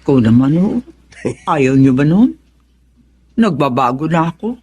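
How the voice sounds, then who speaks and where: An actress playing a sheepish middle-aged wife in a Tagalog radio drama, a reformed gossip. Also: female voice, sits low, expressive, measured pace, a little mellow, breathy edge, heavily acted. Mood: sheepish, reassuring.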